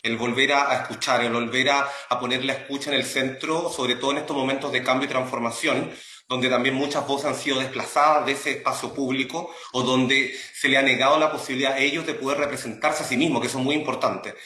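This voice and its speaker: A man's voice: medium pitch, steady tone, quick pace, pushed and bright. A man with a Chilean accent explaining earnestly as an interview guest, often rephrasing, with a roomy, echoing sound. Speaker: academic and radio drama creator, interview guest.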